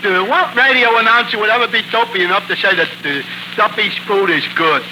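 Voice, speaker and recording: A man's voice: high-pitched, fairly quick, natural voice. An actor playing a dim-witted, good-natured male tavern regular, hesitant and dopey, in a 1940s radio comedy. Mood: dopey, puzzled. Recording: noisy 1940s radio broadcast recording.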